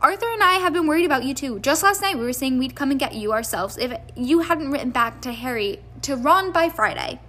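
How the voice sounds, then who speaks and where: A woman's voice: high-pitched, lively intonation, a little fast, hardly any pauses, bright, forceful tone. Woman acting a bossy, snappy witch mother. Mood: doting. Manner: snappy, scolding, emphatic. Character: bossy, fussing middle-aged witch mother, scolding yet doting.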